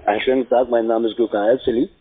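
A man with grammar makes an insistent cold-call pitch. He talks quickly at a medium pitch, and the line is tinny and narrow like a telephone. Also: fairly even intonation. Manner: pushy, insistent, halting grammar. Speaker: call center electricity salesman. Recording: tinny, band-limited phone line.

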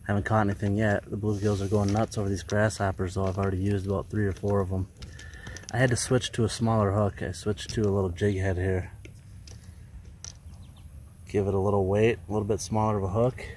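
A man's voice: on the low side, flat delivery, a little fast, darkish tone, a little hiss or hum. Easygoing man fishing from a kayak. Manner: easygoing, folksy, chatty. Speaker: fishing vlogger. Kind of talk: casual narration while fishing. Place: outdoors on a lake in a kayak. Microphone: action camera.

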